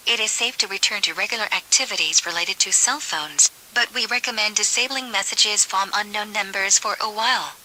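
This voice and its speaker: A woman's voice: medium pitch, low-quality recording. A woman with a synthetic-sounding voice reads a formal scripted emergency announcement in an official, impersonal manner. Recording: steady hiss behind the voice.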